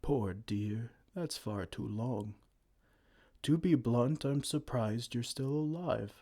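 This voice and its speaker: A man voicing a gentle, kind male giant who talks softly and soothingly in an ASMR roleplay. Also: mid-range voice, slow pace. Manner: soft, soothing, comforting.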